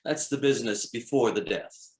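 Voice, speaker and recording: A man's voice: mid-range voice, animated, normal speed, darkish tone, lightly acted. Echoey, swooping man playing a playful wit. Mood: droll, playful. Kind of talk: table read of screenplay dialogue. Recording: echoey room.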